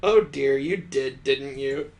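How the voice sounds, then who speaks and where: A man's voice: medium pitch, takes it slowly, darkish tone, close to an everyday voice. A man voicing a talking teapot lady from a visual novel, motherly yet frank and brusque. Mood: gently reassuring. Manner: addresses listener as dear, frank.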